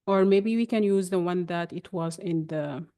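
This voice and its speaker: Warm-voiced woman giving a software demo. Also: lowish voice, normal speed. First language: Arabic. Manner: chatty, explanatory, with frequent restarts. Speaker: webinar presenter. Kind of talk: screen-share software demonstration.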